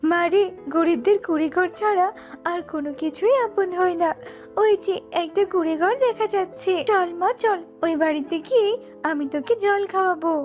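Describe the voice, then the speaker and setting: A woman voicing a poor, gentle mother bird in a cartoon, plaintive and pleading, with music behind. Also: very high voice, normal speed, pitched above the performer's own voice. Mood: sorrowful, tender. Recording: slightly dull audio with music behind.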